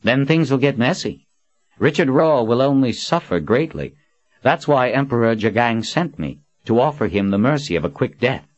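A man's voice: medium pitch, lively intonation, normal speed, no echo, lo-fi sound, voice pushed up, lightly acted. A man voicing a cocky young male assassin, boyish and mocking, with falsely polite, offhand talk. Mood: smug.

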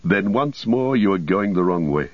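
A man voicing a wise, steady old wizard with measured, solemn archaic speech in an audiobook. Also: low-pitched, dry recording, narrow, tinny audio. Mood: grave, offering help.

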